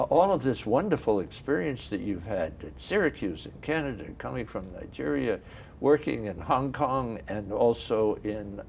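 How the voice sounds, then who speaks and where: A man's voice: medium pitch, gravelly, darkish tone, a little hiss or hum. A man hosting a studio interview, asking eager, encouraging follow-up questions. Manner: curious, encouraging, asks short follow-ups. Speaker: TV interview host.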